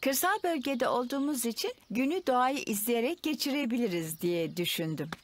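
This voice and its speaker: A woman voicing a sensible, gently chiding mother pig in a children's cartoon dub, with music underneath. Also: fairly high pitch, lively intonation, moderate pace, background noise, natural voice. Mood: pleasant.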